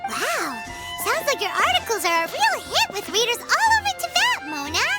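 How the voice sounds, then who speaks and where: Squeaky, tiny childlike fairy companion. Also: female voice, normal speed, over loud music, full character voice. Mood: amused. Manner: exaggerated, expressive. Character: small childlike female floating fairy companion, curious and cheeky. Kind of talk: animated video game voice acting.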